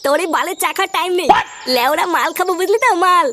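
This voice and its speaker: A brash young male slacker in a comic cartoon. The voice is pitched up extremely high and whines and swears over faint noise. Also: rapid-fire, sharp tone. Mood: cocky. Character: cocky, whiny, foul-mouthed young male slacker.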